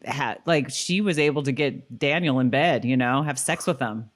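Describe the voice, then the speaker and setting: Female podcast co-host, low register, conversational delivery. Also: normal speed. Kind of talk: casual conversational TV episode discussion.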